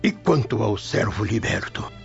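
Gravelly elderly Roman grandfather, gentle and hesitant, radio soap, dull recording with music. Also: on the low side, sing-song, a little slow, heavily acted. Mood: perplexed. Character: old Roman grandfather, gentle, concerned, perplexed.

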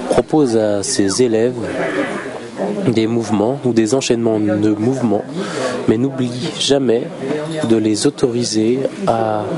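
Male narrator-interviewer, mid pitch, measured scripted delivery, busy crowd behind. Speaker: narrator and interviewer in a dance radio piece.

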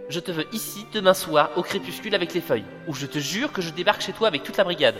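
A man playing a gruff, dutiful police officer and put-upon younger brother in a period French audio drama, with a curt, commanding way of talking. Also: sits high, fast talker, close to an everyday voice. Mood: exasperated, stern. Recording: long reverb, music under the voice.

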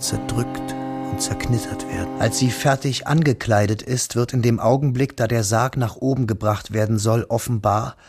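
An actor playing a grieving young schoolteacher who narrates his memories in a slow, hushed, sorrowful way for a radio drama. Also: medium pitch. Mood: solemn. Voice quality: husky, breathy, gravelly.

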